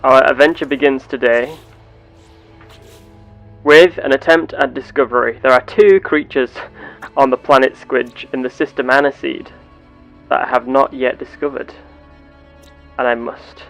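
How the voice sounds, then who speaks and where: A man voicing a curious, earnest space explorer with measured, formal, theatrical phrasing, narrating a sci-fi game roleplay. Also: mid-range voice, steady tone, soft background music, natural voice, poor audio. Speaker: gameplay host.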